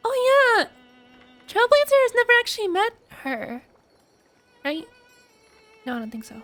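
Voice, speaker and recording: Female streamer, extremely high voice, casual expressive reactions, game music behind. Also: measured pace.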